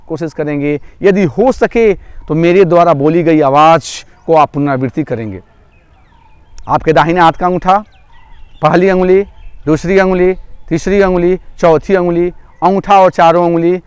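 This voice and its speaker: A man's voice: on the high side, clean tone, dry recording. A man guiding a yoga nidra relaxation as instructor, giving repetitive, step-by-step instructions. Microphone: microphone through a loudspeaker.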